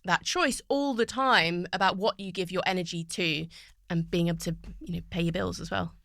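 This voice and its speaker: A woman's voice: on the low side, normal speed, vocal fry, a bit bright, no echo, studio quality. A woman guest on a podcast, a poet and TV writer, telling her story in a fluent, reflective way. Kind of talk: casual podcast conversation. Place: recording studio.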